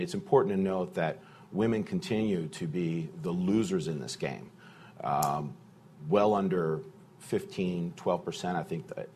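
Deep-voiced man on a panel. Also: a little mellow, slight background noise. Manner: measured, thoughtful, with fillers and restarts. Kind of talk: panel discussion answer.

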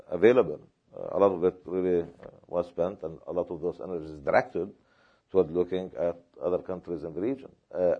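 A man from Palestine answering questions at a panel discussion, speaking in a measured, halting way with many fillers and repeated words. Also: deep voice, muffled recording.

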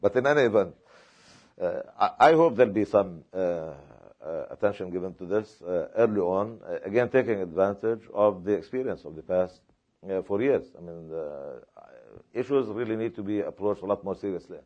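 A man from Palestine answering questions at a panel discussion, speaking in a measured, halting way with many fillers and repeated words. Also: sits low, lively intonation, muffled recording.

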